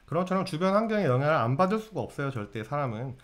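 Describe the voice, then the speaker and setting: A man's voice: a little high, big pitch swings, racing. A man giving a casual lecture to viewers on fortune-telling. He keeps asking his audience questions and answering them himself.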